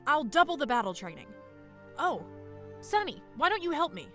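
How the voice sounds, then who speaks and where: A woman's voice: high voice, sing-song, moderate pace, a bit bright, faint music behind. A woman playing a blunt, impatient female dragon warrior in an audio drama. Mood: brisk, encouraging.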